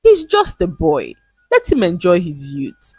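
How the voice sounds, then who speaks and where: A woman's voice: lowish voice, huge pitch swings, measured pace, husky, dry recording, faint music behind, heavily acted. A woman storyteller voicing a rich, indulgent mother who is haughty, angry and cutting. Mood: dismissive. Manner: haughty, sharp, cutting, dismissive. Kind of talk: dramatised quotes in narrated folktale storytelling.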